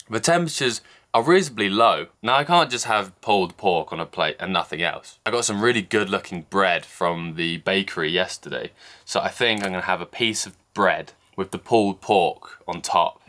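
A man's voice: sits low, pushed and bright. A young man vlogging from a snowy mountain cabin, chatting casually and joking about his own lack of skill while holding the camera at arm's length. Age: young adult. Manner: chatty, self-deprecating, explains as he goes. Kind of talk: casual vlog narration. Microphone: handheld camera at arm's length.

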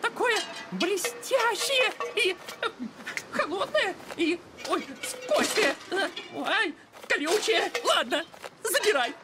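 A woman voicing a fussy, excitable little seabird in a cartoon, with a squeaky, flustered manner. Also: takes it slowly, full character voice. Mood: flustered, possessive. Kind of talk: animated series voice acting. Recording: loud music under the voice.

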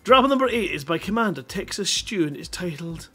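A man in his thirties hosting a live show on a headset mic, reading short stories with a drawn-out dramatic delivery between bits of banter. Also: high voice, animated, a bit bright, slightly rough. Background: music in the background.

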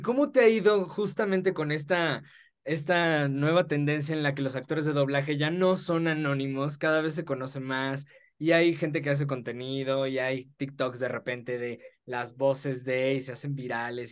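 A young man hosts a relaxed interview by video call. His pitch is average for a man. He talks in a casual, conversational style. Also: normal speed, smooth. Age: 20s. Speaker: interviewer, host. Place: small foam-padded room, on video call.

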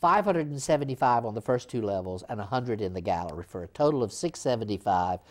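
An older man in his sixties speaks as the guest of a local TV talk show, explaining theater history. His talk is animated and full of restarts and little fillers. He sits in a small studio set, picked up by a lapel mic. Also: fairly low pitch, fast. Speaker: theater executive director, guest.

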